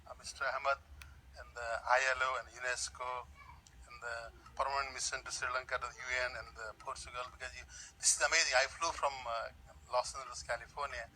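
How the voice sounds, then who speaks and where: A man speaks up from the floor of a big conference hall, earnest and pleading, and comes back to the same words again and again. His voice is very bright and sits in the middle of the male range. A faint hiss runs under it. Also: medium pitch. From Nepal. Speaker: audience member asking a question. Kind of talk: public question at a panel.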